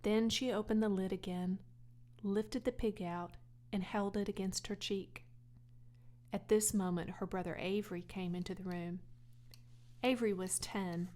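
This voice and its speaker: A woman's voice: medium pitch, fairly even intonation, unhurried, mellow. A woman reading a children's storybook aloud as a scripted audiobook narration. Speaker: audiobook reader.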